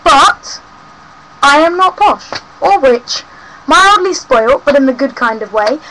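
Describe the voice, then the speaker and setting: A woman's voice: high-pitched, animated, slow pace, pushed and bright, poor audio. A young woman from South West London with a London accent, rambling and ranting cheekily in a casual home vlog. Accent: London English. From South West London, England. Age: young adult. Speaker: vlogger. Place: home room.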